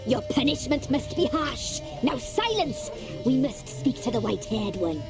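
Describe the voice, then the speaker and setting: A woman's voice: high voice, big pitch swings, unhurried, over music, exaggerated voice. An actress playing an imperious, sinister witch crone of the woods in a video game, commanding and theatrical. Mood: stern menace. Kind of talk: video game cutscene acting.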